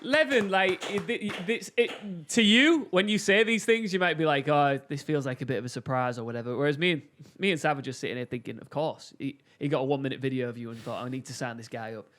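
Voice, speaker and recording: A man's voice: medium pitch, quick pace, few pauses, a bit bright. A man co-hosting a casual podcast, bantering with enthusiastic praise. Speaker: podcast co-host.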